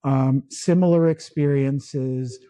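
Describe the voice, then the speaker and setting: A man from the Philippines, his first language Tagalog, co-hosting a podcast in casual chat, talking slowly and halting with many restarts. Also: mid-range voice, fairly even intonation, warm tone, no room sound. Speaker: podcast co-host.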